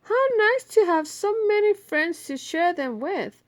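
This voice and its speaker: A woman's voice: very high-pitched, lively intonation, measured pace, voice pushed up. A woman voicing a generous, kindly grandmother in a children's storybook, speaking emphatically. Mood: pleased, generous. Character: warm, generous grandmother arriving with cookies. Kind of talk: children's storybook read-aloud.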